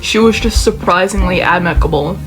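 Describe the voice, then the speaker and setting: A woman's voice: lowish voice, fairly quick, voice pushed down, close to an everyday voice, poor audio. A curt young businessman from a story game, voiced by a woman. He sounds grumpy and irritable. Light music runs behind the voice. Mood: irritated.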